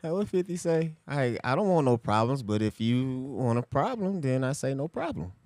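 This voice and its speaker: A man's voice: mid-range voice, sing-song, normal speed, darkish tone, no echo. A middle-aged man from California chatting as a guest in an interview. He talks in a laid-back way, laughs often and repeats his phrases. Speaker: guest comedian. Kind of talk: casual interview chat.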